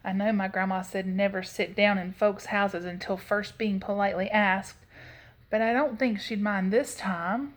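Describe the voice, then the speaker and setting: A woman voicing a cheeky little girl in a storybook, expressive and full of excuses. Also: medium pitch, moderate pace, close to an everyday voice. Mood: tired. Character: hungry, cheeky little girl who wanders in.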